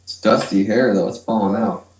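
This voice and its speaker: A man's voice: fairly low pitch, fairly even intonation, normal speed, roomy sound, a crowd in the background, poor audio. A man co-hosting a podcast, trading dry, teasing jokes in casual banter. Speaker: podcast co-host.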